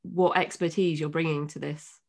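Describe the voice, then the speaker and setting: A woman's voice: deep voice, fairly even intonation, moderate pace, vocal fry, a little mellow. A woman from England hosting an interview, asking questions in a hesitant, filler-heavy way. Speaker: interviewer, host.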